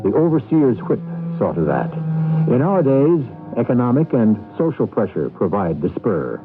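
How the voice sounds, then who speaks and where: A man hosting a radio drama with deliberate, theatrical scripted narration. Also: medium pitch, big pitch swings. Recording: narrowband 1980s radio with music.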